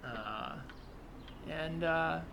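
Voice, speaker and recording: A man's voice: fairly high pitch, extremely slow, sharp tone, heavily acted. A man playing an earnest, provocative right-wing militia member and self-styled historian, in a hesitant, probing, conversational improvised comedy scene. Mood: earnest, defensive. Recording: clearly noisy, added ambient soundscape. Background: ambient sound effects.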